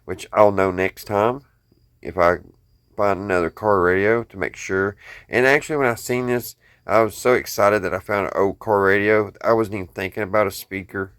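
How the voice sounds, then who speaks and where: A man's voice: lowish voice, fairly even intonation, moderate pace, a bit bright, clean tone, no room sound, clean studio sound. An American man talking casually to viewers in a folksy, rambling show-and-tell, thinking aloud as he goes. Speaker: hobbyist video host.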